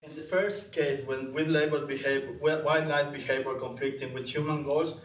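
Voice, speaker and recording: A man's voice: medium pitch, fairly even intonation, moderate pace. A young man from Ecuador with a Latin American Spanish accent gives a conference presentation on his research, hesitant with frequent fillers and restarts. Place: lecture room. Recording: distant mic, roomy hall, dull sound.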